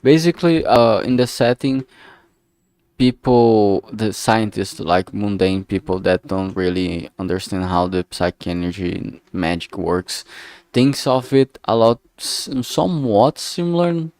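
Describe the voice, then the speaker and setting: A man streaming as he works, thinking aloud casually in a halting way and muttering to himself. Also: on the low side, darkish tone, dry recording, studio quality. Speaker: streamer making a visual novel. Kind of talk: casual think-aloud art livestream commentary.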